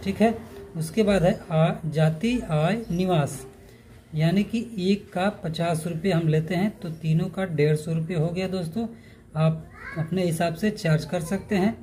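A man's voice: sits high, measured pace, warm tone, a little hiss or hum. A man presenting an informal step-by-step tutorial, instructing listeners in a friendly-address style. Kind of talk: informal explanatory tutorial narration.

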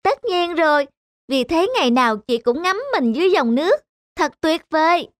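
A woman's voice: high voice, expressive, normal speed, no room sound, studio quality, soft background music. A woman voicing a vain, pampered young girl in a cartoon fairy tale, with a childlike, pleading manner. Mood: vain, coy. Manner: childlike, self-admiring, pleading.

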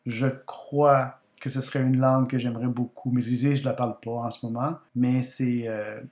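A man from Guatemala whose first language is Spanish, hosting a language video and explaining things in a measured way, often repeating words for emphasis. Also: mid-range voice, flat delivery, a little mellow, roomy sound. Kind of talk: unscripted explainer over slides.

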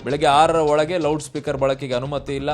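Guy anchoring live kannada-accented news, kinda high voice, pushes his points, bit of music under it. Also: flat delivery, fairly quick. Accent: Kannada, Karnataka.

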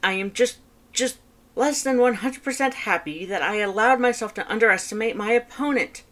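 Woman acting a high-pitched, pompous male skeleton. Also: normal speed, fairly bright tone, lightly acted. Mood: indignant. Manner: emphatic, formal, theatrical delivery. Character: loud, pompous, competitive male skeleton, dramatic and proud. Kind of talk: fan fiction audiobook character voice.

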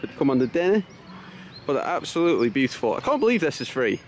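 A man's voice: a little high, very animated, fast talker. British man vlogging over outdoor noise. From Britain. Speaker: travel vlogger. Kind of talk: casual vlog chat. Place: outdoors in a city park. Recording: noisy outdoor location sound.

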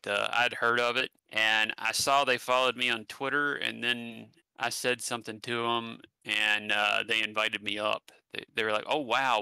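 West virginia guy on a headset call, really bright crisp voice, dry sound, lots of ums and restarts. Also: mid-range voice, no echo, poor audio. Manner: halting, many fillers and restarts. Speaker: guest, paranormal channel creator. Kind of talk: casual conversational interview. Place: home room, indoors. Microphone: headset mic on a video call.

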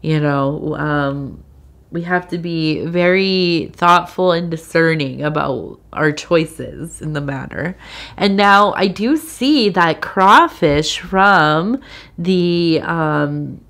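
A woman with a congested cold giving a soft-spoken, meandering tea leaf reading, with frequent fillers. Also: low-pitched. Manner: slow, meandering, with many fillers and soft laughs. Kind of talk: soft-spoken ASMR tarot-style reading.

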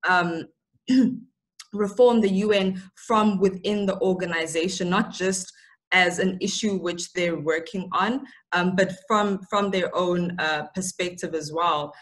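A woman's voice: on the low side, a bit bright, rough recording. A woman in her thirties from South Africa, a guest speaker on a video call, talking thoughtfully with many fillers and repeated words. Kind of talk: online talk, answering questions. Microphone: laptop webcam on a video call.

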